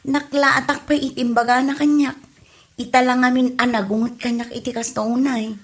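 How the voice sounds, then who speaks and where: A woman's voice: a little high, flat delivery, moderate pace, close to an everyday voice. A woman with a Filipino accent acting an apologetic, exasperated farmer's wife in a podcast comedy drama. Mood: aggrieved.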